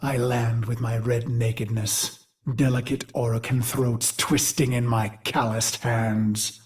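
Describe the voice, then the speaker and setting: A man voicing a brooding warrior machine mind in a video game, recalling a brutal past in a grave, theatrical monologue with deliberate phrasing. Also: mid-range voice, little pitch movement, lightly acted. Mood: grim, resolute. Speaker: game character narrator. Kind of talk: dramatic scripted game monologue.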